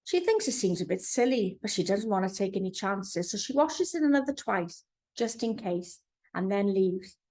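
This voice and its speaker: A woman narrating a storybook for young children in an expressive storytelling manner. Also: medium pitch, normal speed. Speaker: author reading own children's book.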